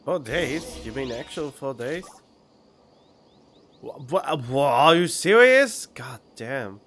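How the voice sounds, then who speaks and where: Slow-talking sardonic male game streamer. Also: medium pitch, very animated, fairly bright tone, faint music behind. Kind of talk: casual live game commentary. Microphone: studio condenser microphone.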